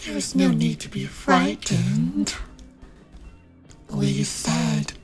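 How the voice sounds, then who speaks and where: A woman playing a menacing, haughty dark spirit lord in a horror fantasy ASMR roleplay, speaking in archaic, imperious, deliberate phrases. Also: sits high, wildly swooping pitch, music in the background, exaggerated voice. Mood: haughty, beckoning.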